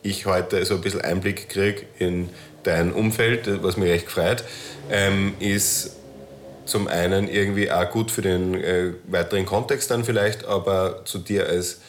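A man hosting a casual interview, phrasing things in a hesitant, roundabout way with many pauses. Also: deep voice, steady tone, slight background noise. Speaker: host, interviewer.

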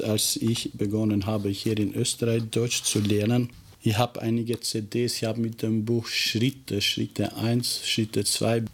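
A man whose first language is Albanian, a teacher answering interview questions in a halting way, often searching for words and restarting sentences. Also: lowish voice, creaky, slight background noise. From former Yugoslavia.

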